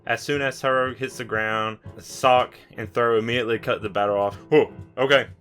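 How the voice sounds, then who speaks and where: Male game master, bright forceful tone, mid pitch, roleplay narration, light guitar music behind. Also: steady tone, fairly quick.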